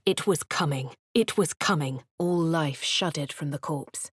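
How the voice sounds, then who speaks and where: A posh English adventurer with a lowish voice and a dry, sardonic edge. She delivers each line in a measured, composed way. The sound is close and studio-dry. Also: breathy edge, no echo. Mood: wry. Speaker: player character. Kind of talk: game voice lines. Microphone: studio mic.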